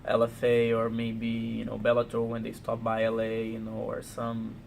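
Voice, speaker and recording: A man from Brazil with a Portuguese accent, speaking halting English full of "you know" in a casual interview. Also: mid-range voice, monotone, vocal fry, a little hiss or hum. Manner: frequent "you know" fillers, halting non-native English. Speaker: pro fighter.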